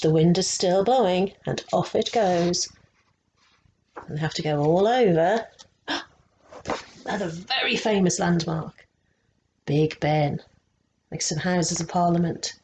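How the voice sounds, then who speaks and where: A woman reading a picture book aloud to children, slow and expressive, stopping to ask them questions. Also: on the low side, a little hiss or hum. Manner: slow and expressive, asking the young listeners questions.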